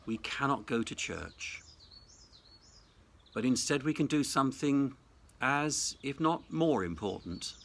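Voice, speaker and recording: A man, a senior Anglican clergyman, delivering a reflective Easter sermon in a measured, deliberate, formal way. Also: medium pitch, lively intonation, creaky, a bit bright. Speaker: Anglican cathedral dean giving Easter message. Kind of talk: reflective sermon, prepared address.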